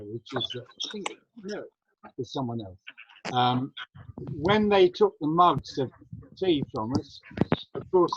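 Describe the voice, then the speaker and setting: A man's voice: medium pitch, dull audio. An older man from England chatting casually and explaining things anecdotally, as an actor on an online call. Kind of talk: casual chat on an online workshop. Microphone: video call. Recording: noisy home video call.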